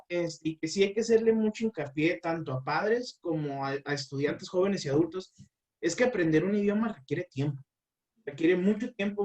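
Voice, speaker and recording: A man's voice: a little high, a little mellow, far from the mic. A man explaining language learning as an interview guest, with many fillers and restarts.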